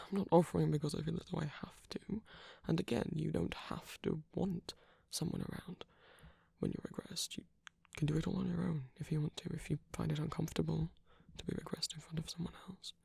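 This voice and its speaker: Someone doing a soft villain for romantic asmr, super husky n breathy, lots of fry, gentle and reassuring. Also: medium pitch, fairly even intonation, brisk, dry recording, heavily acted. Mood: tender, reassuring. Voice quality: breathy, husky, often whispering, creaky. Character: soft-spoken villain, tender and protective toward abandoned hero. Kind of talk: intimate romantic ASMR roleplay.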